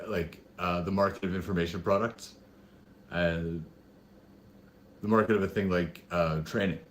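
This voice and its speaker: A man with a deep voice that is a bit raspy today talks through his ideas on an online panel. He speaks through a headphone mic, and there is a faint hiss behind him. He often pauses and uses fillers as he works out his thoughts. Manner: thoughtful, halting, many fillers. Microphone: headphone mic on a video call.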